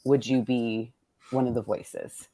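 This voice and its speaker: A woman hosting as an author in casual conversation, gushing, informal and quick to laugh. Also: very deep voice, steady tone, mellow, no echo, rough recording. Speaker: author hosting a virtual event. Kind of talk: casual chat.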